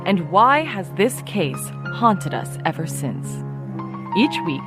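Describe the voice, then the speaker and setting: A woman's voice: mid-range voice, sing-song, takes it slowly, music in the background. A woman playing a self-important true-crime podcast host, earnest and easily irked, with a polished, dramatic delivery. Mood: solemn, dramatic. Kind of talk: scripted true-crime podcast narration and interviewing.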